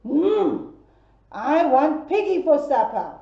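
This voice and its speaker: A woman voicing a hungry, blustering big bad wolf, loud and drawn-out, in an echoey room with dull lo-fi sound. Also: high voice, very animated, exaggerated voice. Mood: menacing.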